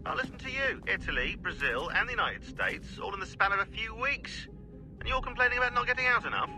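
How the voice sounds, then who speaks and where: Sardonic researcher, lively pitch swings. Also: male voice, fast, music in the background, poor audio. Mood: sarcastic, indignant. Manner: dry, wordy, sarcastic. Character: sardonic, fussy male researcher on a covert team. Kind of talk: video game cutscene acting.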